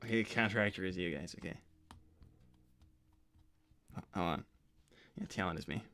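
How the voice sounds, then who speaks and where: A man voicing a young cartoon hedgehog hero who is awkward and evasive, talking in hesitant, casual slang for an animated roleplay stream. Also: on the high side, normal speed, soft background music. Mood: flustered, stalling. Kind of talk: improvised in-character livestream roleplay.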